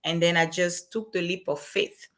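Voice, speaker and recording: A woman's voice: fairly low pitch, little pitch movement, normal speed. A young woman from Cameroon with a French-Cameroonian accent, speaking as an earnest, motivational guest on an interview show. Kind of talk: interview chat.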